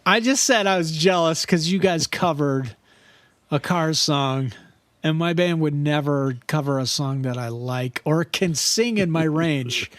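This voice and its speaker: A man with a high voice, co-hosting a podcast in a casual chat and telling stories with lots of laughter. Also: unhurried, no room sound. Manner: animated, laughs often, trails off and restarts. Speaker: podcast co-host.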